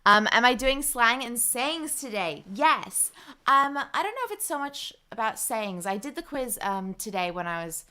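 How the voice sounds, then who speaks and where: A young woman hosting a live stream, chatting loosely with her viewers. Her voice is bright and crisp, and she fills her pauses with easy ums while she reads out comments. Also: fairly high pitch, very animated. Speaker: livestream host. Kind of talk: casual livestream chat.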